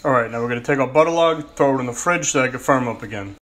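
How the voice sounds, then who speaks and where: Young guy cooking at home, talks fast n cocky, cracks jokes, phone mic, light kitchen hum. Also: mid-range voice, a bit bright. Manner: brash, joking, streetwise slang. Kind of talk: casual cooking vlog narration. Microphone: phone camera held close.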